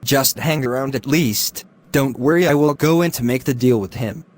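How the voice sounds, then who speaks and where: A man's voice: mid-range voice, normal speed, lightly acted. A young man, acting a desperate student who keeps begging his friend for help. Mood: pleading. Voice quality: begging, insistent pleading. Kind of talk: amateur drama acting.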